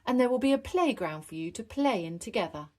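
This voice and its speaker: A kindly lady gazelle who teaches little ones, played by a woman reader. She explains things patiently and encouragingly, with a very animated rise and fall. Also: on the high side, a little fast, voice pushed up, lightly acted. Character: kindly adult female gazelle schoolteacher. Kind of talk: children's storybook character read aloud.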